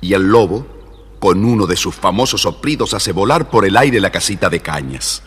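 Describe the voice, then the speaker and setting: A man narrating a scripted children's tale with an expressive, deliberate storyteller delivery. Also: sits low. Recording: reverberant, faintly noisy old story record.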